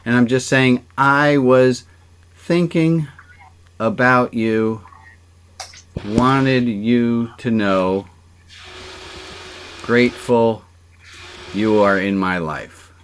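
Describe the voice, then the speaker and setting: A man's voice: medium pitch, steady tone, very slow, pauses often, slight background noise, low-quality recording. A man speaking as a rabbi leading an informal online service, conversational and joking, encouraging people to take part. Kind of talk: informal interactive sermon, leading exercises.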